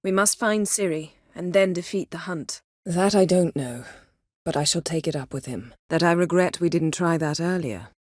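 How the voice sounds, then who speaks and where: A haughty sorceress from a fantasy game, played by a woman, speaks in a lowish voice. Her diction is formal and her manner imperious, with a dry, cutting wit. The sound is close and free of room echo. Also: moderate pace, natural voice. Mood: earnest, subdued. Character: haughty, sharp-tongued, commanding sorceress in a fantasy world. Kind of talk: fantasy video game voice acting.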